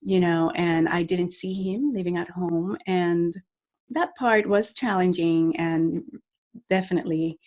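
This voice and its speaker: A woman's voice: lowish voice, steady tone, unhurried, a little mellow. A woman, a life coach talking earnestly and reflectively as a podcast guest. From Puerto Rico. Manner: earnest, reflective, with frequent restarts and laughs. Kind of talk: podcast interview.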